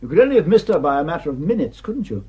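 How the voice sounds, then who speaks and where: A man's voice: fairly high pitch, big pitch swings, very fast, husky, phone-quality sound, full character voice. A man acting a sardonic police superintendent in a radio drama, questioning with wry insistence. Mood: dry, probing. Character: sardonic, probing police superintendent investigating a murder. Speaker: actor.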